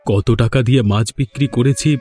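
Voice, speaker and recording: A man's voice: medium pitch, a little fast, dark tone, natural voice. A man voicing an aggrieved, put-upon village fisherman in a cartoon. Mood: defensive, weary. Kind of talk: Bengali cartoon voice acting.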